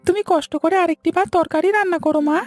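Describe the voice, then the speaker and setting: Woman playing little cartoon schoolboy, extremely high voice, sweet, earnest, obedient, childlike, music underneath. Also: steady tone, quick pace, a little mellow. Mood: gentle, coaxing. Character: earnest, obedient schoolboy, protective older brother. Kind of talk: Bengali cartoon voice acting.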